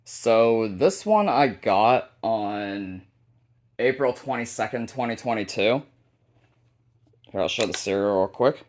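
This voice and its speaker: A man's voice: lowish voice, expressive, slow pace. A man hosting a hobby video, narrating a casual show-and-tell of a collection.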